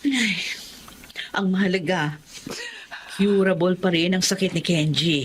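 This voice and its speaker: A woman with a Filipino accent playing a calm, devout, comforting confidante in an emotional drama. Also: lowish voice, a little slow, lightly acted. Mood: reassuring. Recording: faint ambient noise.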